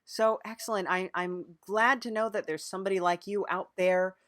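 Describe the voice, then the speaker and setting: A woman's voice: medium pitch, steady tone, moderate pace, fairly bright tone. A woman hosting a podcast, chatting casually and playfully with a mock-earnest manner. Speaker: podcast host. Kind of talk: casual improvised interview and show chat.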